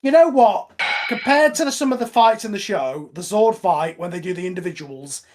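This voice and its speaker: Higher-pitched man in casual commentary. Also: moderate pace, darkish tone, soft background music. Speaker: podcast commentator.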